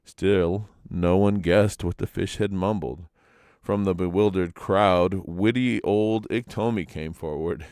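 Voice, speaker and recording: A man narrating legends as a storyteller, in a dramatic, expressive reading. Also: low voice, slow pace, clean tone, dry recording, studio quality.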